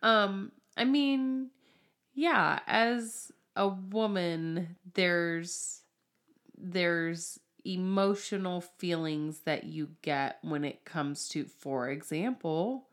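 A woman's voice: on the low side, extremely slow, a bit bright. A woman in her thirties chatting casually as a co-host, thoughtful and a little hesitant. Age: 30s. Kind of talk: casual chat.

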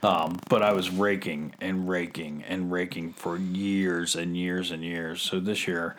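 Male co-host, deep-voiced and chatty, laughs a lot. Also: steady tone, a bit bright, a little hiss or hum. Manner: rambling, laughs often, drawn-out fillers. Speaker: podcast co-host. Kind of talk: casual chat.

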